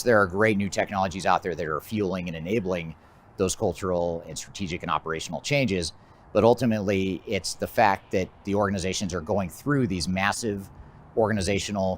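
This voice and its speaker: Low-voiced American man presenting over background noise. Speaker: presenter, business technology consultant. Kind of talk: explanatory presentation of a trends list.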